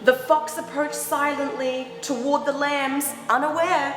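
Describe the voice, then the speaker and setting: Lady doing kids storytime, high voice, slow n expressive, music behind her. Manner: animated, exaggerated reactions with gasps and drawn-out words. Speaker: children's storyteller. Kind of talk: expressive picture-book read-aloud with asides to young children. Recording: roomy echoing hall sound, background music.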